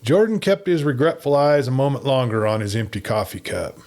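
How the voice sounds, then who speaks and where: Male audiobook narrator, mid-pitched voice, dramatic storytelling, scripted western tale. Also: fairly quick, darkish tone.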